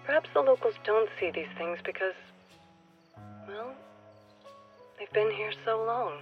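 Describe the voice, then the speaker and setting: A woman's voice: medium pitch, expressive, measured pace, lo-fi sound, natural voice. Actress as wistful woman confiding over music. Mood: tender, earnest. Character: earnest nature-loving newcomer woman speaking to a departed listener. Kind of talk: radio play monologue.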